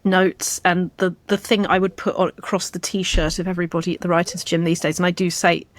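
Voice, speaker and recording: A woman interviews in a casual, conversational style. Her voice sits a little low, and she speaks informally and encouragingly, restarting phrases to stress a point. The sound is very dry. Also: little pitch movement, fairly quick, no echo. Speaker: interviewer and host.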